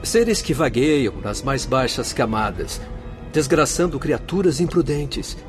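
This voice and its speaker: An actor playing a calm, authoritative doctor in a radio drama, explaining things formally. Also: male voice, medium pitch, measured pace, close to an everyday voice. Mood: grave. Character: calm, authoritative male doctor of a spirit hospital. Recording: music behind the voice.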